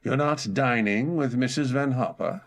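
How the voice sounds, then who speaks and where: A man playing a melancholy, reserved gentleman with formal, polite manners in a radio drama. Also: mid-range voice, normal speed, creaky, lightly acted. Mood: courteous. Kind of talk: old-time radio drama acting.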